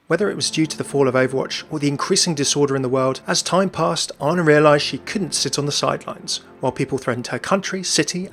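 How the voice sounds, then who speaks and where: A man's voice: a little high, a bit bright. A man reading scripted narration about video game lore as the narrator of a video.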